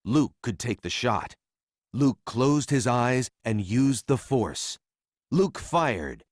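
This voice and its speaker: A man narrating a children's read-along story in a simple, deliberate storytelling manner for young readers. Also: medium pitch, expressive, no room sound, studio quality.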